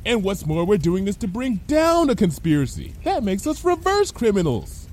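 A man's voice: sits high, wildly swooping pitch, normal speed, darkish tone, voice pushed up, full character voice. A man voicing a carefree, reckless female unicorn pony in a story, breezy and unworried.

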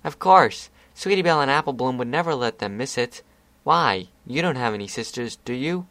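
A stubborn, indignant little pegasus filly from a storybook reading, her voice pushed up high and earnest, as a man puts her on. The sound is dry and close, with no room behind it. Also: animated, moderate pace, fairly bright tone, no echo. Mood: casual. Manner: pitched up, earnest and upset.

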